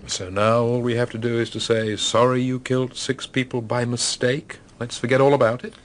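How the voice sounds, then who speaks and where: An actor playing a sceptical, plain-spoken gentleman in a radio drama, dry and questioning. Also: medium pitch, little pitch movement, normal speed, slight background noise, lightly acted. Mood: sceptical, probing.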